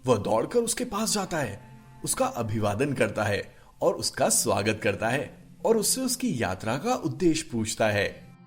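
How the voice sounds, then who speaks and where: Animated male story narrator. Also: medium pitch. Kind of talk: scripted children's story narration.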